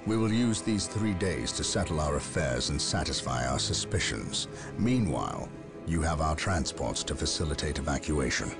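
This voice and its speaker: An actor playing a stern, brooding male space marine captain who speaks in a grave, measured, commanding way. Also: a bass voice, lively intonation, music in the background. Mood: resolute. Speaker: soldier. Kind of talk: video game cutscene acting.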